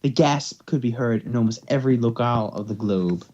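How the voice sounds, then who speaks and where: A man's voice: medium pitch, a little fast, darkish tone, muffled recording. A man hosting and narrating an amateur story reading, slipping between scripted narration and casual banter. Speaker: narrator and host.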